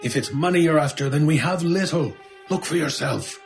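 A man's voice: fairly high pitch, moderate pace, faint music behind, natural voice. An actor playing a nervous, frightened but placating male shopkeeper in a horror radio drama, anxious and prone to stammer. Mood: alarmed. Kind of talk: old time radio style horror audio drama.

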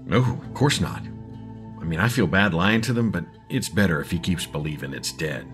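A man's voice: sits low, normal speed, fairly bright tone, soft background music. An actor playing a grave, responsible father in a horror audio drama, somber and resolute. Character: grave, responsible father running a family campground.

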